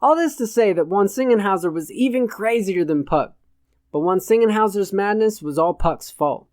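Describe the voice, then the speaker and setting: A man narrating satirical fiction from a script in a measured, literate way. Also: high voice, expressive, a little mellow, dry recording, clean studio sound. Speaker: audiobook narrator.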